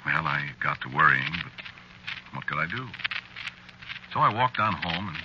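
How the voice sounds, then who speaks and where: A man's voice: extremely low pitch, big pitch swings, moderate pace. A man playing a meek, worried working husband, narrating and acting in a 1940s radio drama in a hesitant, mild, conversational way. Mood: reflective, uneasy. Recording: very noisy, muffled 1940s radio recording.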